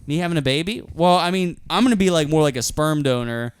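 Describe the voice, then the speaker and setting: A man's voice: on the high side, lively intonation, fast talker, clear, resonant tone, no echo. A man in his late twenties co-hosting a comedy podcast, laughing and protesting good-naturedly as he is teased. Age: 20s. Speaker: podcast co-host. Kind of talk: casual comedic banter.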